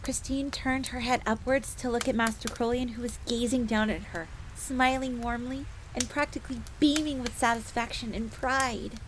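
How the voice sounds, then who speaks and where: A woman's voice: a little high, fairly even intonation, normal speed, hardly any pauses, lightly acted. A woman playing a devoted, eager fox maid who tells her story expressively in the third person, as in an audio drama. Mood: earnest storytelling. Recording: faint crackling fire noise behind voice.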